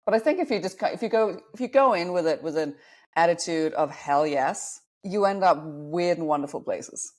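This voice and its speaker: A woman's voice: on the low side, normal speed, a little mellow. A woman in her forties from Denmark, a guest on a podcast talking about her life as a dating coach, reflective and self-deprecating. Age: 40s. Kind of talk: podcast interview.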